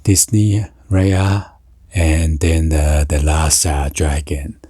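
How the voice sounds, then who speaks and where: A man casually narrating an unboxing in a halting, hesitant way, as the host of the video. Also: extremely low pitch, flat delivery, warm tone, no echo. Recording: noisy, with crinkling packaging and clicks. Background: packaging crinkling and clicking.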